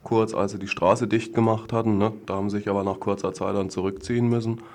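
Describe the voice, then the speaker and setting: A low-voiced man speaks as an activist guest in a casual interview. He keeps a flat intonation while talking bluntly in slangy, colloquial phrases, often tacking on short tag questions. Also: fast talker.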